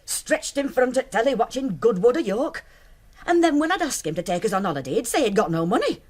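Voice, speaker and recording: A woman's voice: a little high, sing-song, fairly quick, a little mellow, husky. An actress playing a middle-aged working-class widowed mother with a Northern English accent, blunt and reproving, in a naturalistic radio drama. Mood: bitter. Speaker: actor.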